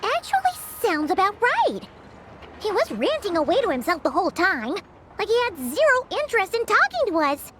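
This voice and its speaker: A woman voicing a small, chatty, excitable fairy companion with a squeaky childlike voice in a video game. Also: normal speed, a little hiss or hum, heavily acted. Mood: matter-of-fact.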